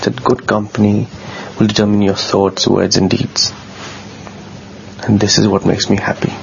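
A man with a bassy low voice, telling a personal story in a casual, earnest way, often halting and repeating words. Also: flat delivery, creaky. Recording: dull lo-fi sound with faint noise.

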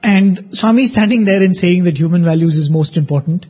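A man's voice: high-pitched, fairly quick, husky. Earnest man hosting a devotional radio show. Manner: reflective, earnest, storytelling. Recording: dull lo-fi radio sound.

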